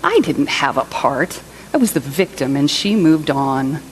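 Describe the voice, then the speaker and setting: Woman acting a wounded, low-voiced jilted partner. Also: big pitch swings, measured pace, slight background noise, low-quality recording. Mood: defensive. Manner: hurt, indignant, emphatic. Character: wounded, self-pitying woman abandoned by her partner. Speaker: reader in a church service skit. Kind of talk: dramatic monologue reading.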